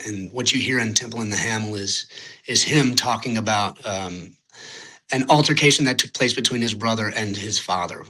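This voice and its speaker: A man's voice: lowish voice, bright, forceful tone, rough recording. A young man from Louisiana with a Louisiana accent, a podcast guest chatting casually, rambling a little and searching for words as he talks. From Louisiana, United States. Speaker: podcast guest, singer-songwriter. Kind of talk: casual interview chat.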